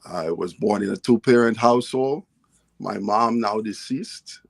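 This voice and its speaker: Gravelly low-voiced man telling his story. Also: measured pace, no room sound. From Guyana. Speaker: guest author and poet. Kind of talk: casual interview talk.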